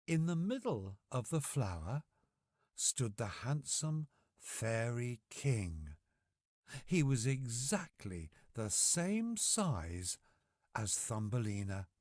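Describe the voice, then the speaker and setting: A man narrating a children's bedtime story from a script, with deliberate, gently expressive phrasing. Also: medium pitch, no room sound, studio quality.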